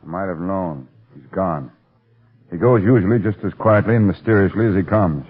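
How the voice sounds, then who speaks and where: A man playing a seasoned, gruff police commissioner, matter-of-fact and authoritative, in 1930s radio drama style. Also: deep voice, moderate pace. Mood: knowing, sober. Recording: thin, lo-fi old radio recording.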